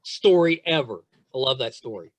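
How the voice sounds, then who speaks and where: A middle-aged man hosting a podcast, chatting and telling stories in an excitable, effusive way, repeating phrases for emphasis. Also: medium pitch, normal speed. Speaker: podcast host interviewing a guest. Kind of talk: casual chat, storytelling.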